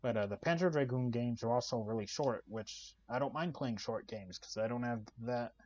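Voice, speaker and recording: A soft-spoken man giving casual, relaxed commentary while playing a video game, with lots of "uh" fillers. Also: medium pitch, normal speed, dull audio. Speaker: gaming channel host. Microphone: desk microphone.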